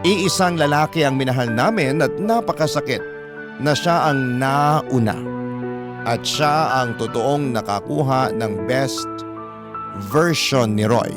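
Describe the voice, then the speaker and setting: Male narrator, mid-range, sentimental Filipino radio love-story reading, measured, clear music underneath. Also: medium pitch. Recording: prominent music bed behind voice.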